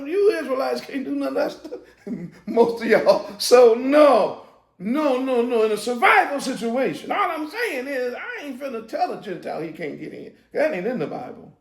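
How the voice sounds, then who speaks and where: A man preaches in a high, gravelly voice. He shouts and laughs through his asides, then slows down to read scripture. The room rings with echo. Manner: loose, shouting and laughing, then slow verse reading. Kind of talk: street-style sermon teaching.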